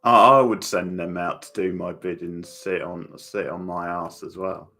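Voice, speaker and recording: Male watchalong host, low and creaky voice, casual and opinionated, talking directly to viewers. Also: steady tone, measured pace, bright, forceful tone. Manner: opinionated, chatting back to viewers. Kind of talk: casual reactions and commentary on a TV show.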